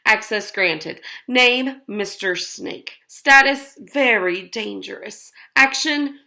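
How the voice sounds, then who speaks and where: A woman reading a children's book aloud in an expressive, deliberate way. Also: on the high side. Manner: deliberate, animated character reading. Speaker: storybook reader.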